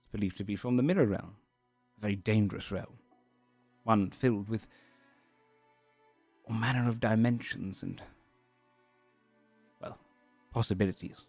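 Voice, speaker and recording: A man's voice: lowish voice, normal speed, long pauses, a little mellow, no room sound, faint music behind. A man doing a genteel teasing dragon. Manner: genteel, playfully condescending.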